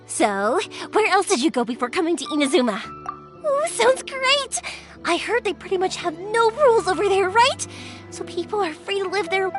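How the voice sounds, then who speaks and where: An actress playing a cheerful, chatty, kind young woman who makes fireworks in a video game, with an exuberant, friendly manner. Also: very high voice. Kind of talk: animated video game voice acting.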